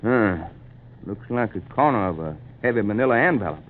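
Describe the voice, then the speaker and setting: A man's voice: lowish voice, animated, fairly quick. A man playing a shrewd, matter-of-fact country fellow in 1940s radio acting. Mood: dry, shrewd. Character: shrewd, unhurried country man, drily reasoning. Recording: tinny 1940s radio recording.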